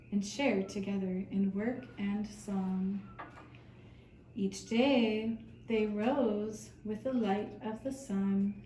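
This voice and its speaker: A woman storyteller narrating a children's fairy tale in a simple, measured manner. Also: mid-range voice, warm tone. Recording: roomy, distant mic, faint noise.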